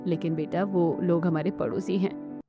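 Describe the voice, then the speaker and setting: A woman voicing an older mother-in-law in a cartoon, with a measured, matronly manner. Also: lowish voice, fairly even intonation, over music, lightly acted. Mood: reluctant, agreeing.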